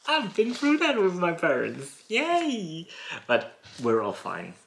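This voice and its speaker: A thirty-something man hosts a solo podcast from his rural home. He talks in a thoughtful, musing way, laughing softly now and then. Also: sits high, huge pitch swings, takes it slowly, creaky, slight background noise. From born in Poland, raised in Germany. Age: 30s. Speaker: podcast host. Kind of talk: reflective solo podcast talk.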